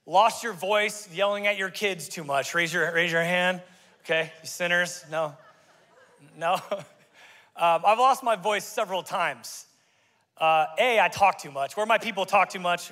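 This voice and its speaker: A man in his forties, a pastor preaching an energetic, joking sermon into a handheld mic on a large church stage. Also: sits high. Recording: live sermon in a large hall with faint music.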